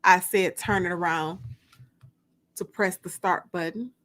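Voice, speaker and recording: Slow, drawn-out woman livestream host. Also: lowish voice, fairly even intonation, a bit bright. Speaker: host and author. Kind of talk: livestream chat and dramatic book reading.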